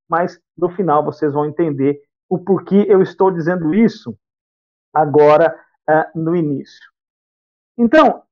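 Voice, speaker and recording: A man from the of São state, giving a spiritist lecture and explaining points by repeating and rephrasing them. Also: high-pitched. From interior of São Paulo state, Brazil. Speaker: guest lecturer. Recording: dull lo-fi audio.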